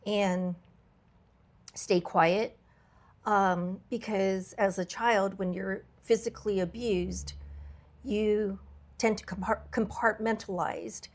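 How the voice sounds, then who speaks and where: A woman hosting a podcast, her voice a little raspy from travel, talking in an earnest, reflective way with frequent fillers and restarts. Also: mid-range voice, steady tone, takes it slowly. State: slightly raspy voice from travel fatigue. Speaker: podcast host. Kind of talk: candid podcast conversation.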